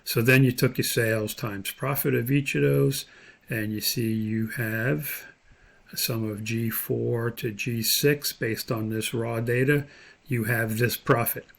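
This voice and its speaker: A man with a creaky, gravelly edge to his voice teaches in an unscripted screen tutorial. He thinks aloud as he goes, explaining each step in an instructor's manner. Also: medium pitch, steady tone, a little slow.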